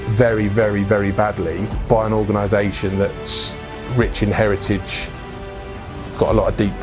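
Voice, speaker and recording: A middle-aged English businessman telling his life story in a frank, casual interview, with plenty of fillers and restarts. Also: on the low side. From England. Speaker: business founder, guest.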